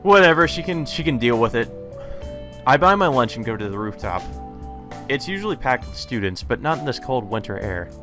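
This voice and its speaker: 남자 게임 실황 낭독자, 배경 음악. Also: male voice, medium pitch, animated, fairly quick, sharp tone. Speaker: let's play narrator. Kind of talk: reading a visual novel aloud with casual commentary. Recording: music behind the voice.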